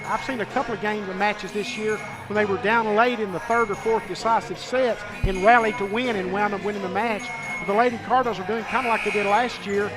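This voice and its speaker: Guy doing play-by-play, high voice, music blaring behind, courtside. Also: steady tone, brisk, few pauses, rough recording. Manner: upbeat, informative, rambling asides. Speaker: play-by-play announcer. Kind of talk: sports broadcast commentary.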